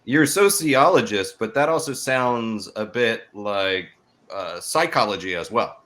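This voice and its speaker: A man's voice: lowish voice, expressive, unhurried, a bit bright. A man hosting a podcast interview, asking questions conversationally and rephrasing them as he goes.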